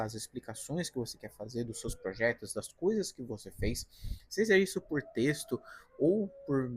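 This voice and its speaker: A man's voice: fairly high pitch, husky, no echo. A Brazilian man with a Paulista accent from the interior of São Paulo, giving casual, direct career advice in a vlog from his apartment balcony, often tagging his sentences with "né?" and "tá?". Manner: conversational, direct, frequent "né?" and "tá?" tags. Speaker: career vlogger, designer.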